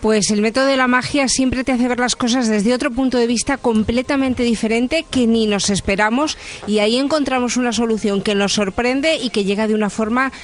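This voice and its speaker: A woman from Madrid with a Spanish accent giving a measured testimony. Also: on the high side, fairly even intonation. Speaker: audience member giving a testimony.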